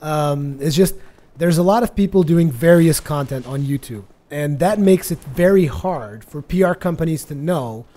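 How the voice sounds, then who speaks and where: Higher-pitched man, mellow, chatty. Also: moderate pace. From Portugal. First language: Portuguese. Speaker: gaming video host. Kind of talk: casual chatty gameplay commentary.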